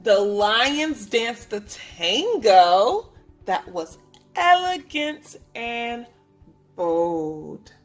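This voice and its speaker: A woman teaching preschoolers, reading a picture book aloud in an instructive way, speaking clearly to children and asking them questions. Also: fairly high pitch, very animated, extremely slow, soft background music. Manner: instructive, asks the listeners questions, enunciates for children. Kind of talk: read-aloud lesson for young children.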